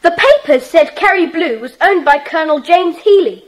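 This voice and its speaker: A woman's voice: extremely high pitch, expressive, moderate pace. A woman playing an eager, earnest schoolchild detective in a children's audio drama, polite and full of exclamations. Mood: earnest, helpful.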